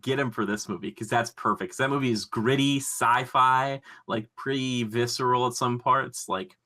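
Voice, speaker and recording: A man hosting a casual podcast, talking in an excitable, gushing, rambling way. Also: mid-range voice, normal speed. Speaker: podcast host. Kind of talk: casual enthusiastic pop culture podcast chat.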